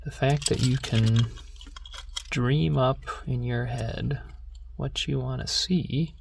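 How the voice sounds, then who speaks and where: A soft-spoken ASMR host talking gently and without hurry, drifting into a whisper, close to the microphone. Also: medium pitch, steady tone, slow, gravelly, a little hiss or hum. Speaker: ASMR video creator and host.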